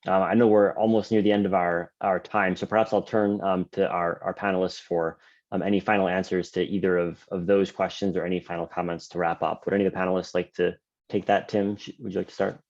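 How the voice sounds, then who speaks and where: A male moderator with a low, flat voice guiding a panel over a video call. He speaks in a measured, halting way with frequent fillers. The audio is dull and lo-fi.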